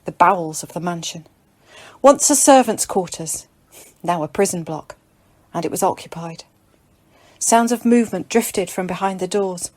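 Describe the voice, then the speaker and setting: A woman's voice: fairly low pitch, moderate pace, pauses often, darkish tone. A woman narrating scripted dramatic fiction as an audiobook reader.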